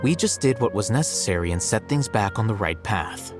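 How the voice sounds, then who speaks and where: A man voicing a composed, matter-of-fact young scholar in a video game, dry and measured. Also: fairly low pitch, expressive, lightly acted. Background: game music.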